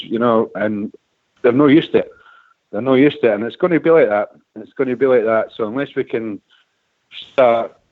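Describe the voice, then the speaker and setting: A man's voice: medium pitch, no echo. Edinburgh man, about forty, phone-in caller; hesitant, rambling, tinny dry phone line. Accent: Scottish (Edinburgh). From Scotland, Edinburgh. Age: 40s. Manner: rambling, hesitant, many restarts and "eh". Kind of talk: phone-in call to a fan radio show.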